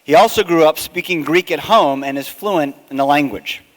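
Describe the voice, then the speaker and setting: A man with a fairly high voice reads out a formal introduction at a measured, deliberate pace. His words ring through a large ballroom, and the long reverb trails after each phrase from the podium mic. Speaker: conference emcee introducing the speaker.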